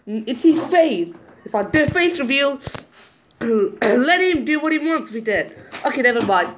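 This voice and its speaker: Woman, high voice, slow halting reading of chat messages, muttered asides, faint hiss behind. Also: lively intonation. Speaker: channel owner. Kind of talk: reading out group chat messages over a screen recording.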